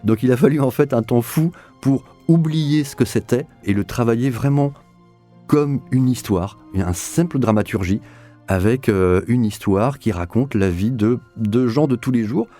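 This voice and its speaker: A man's voice: mid-range voice, normal speed, warm tone, soft background music. A man talking reflectively in an interview as a director, explaining and rephrasing with repeats for emphasis. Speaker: audio drama director being interviewed.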